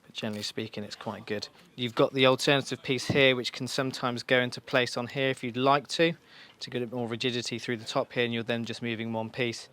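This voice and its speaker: A man's voice: medium pitch, fairly even intonation, fast, few pauses, pushed and bright. A man giving an informal, practical step-by-step demonstration in a reassuring, instructional way. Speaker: motorcycle shop staff giving a fitting tutorial.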